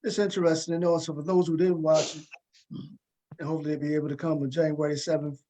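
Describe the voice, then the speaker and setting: A man with a fairly high-pitched voice works as a talk show host. He interviews guests casually. He tends to double up short phrases as he acknowledges answers. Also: flat delivery, fast, warm tone. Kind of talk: casual interview with cast members.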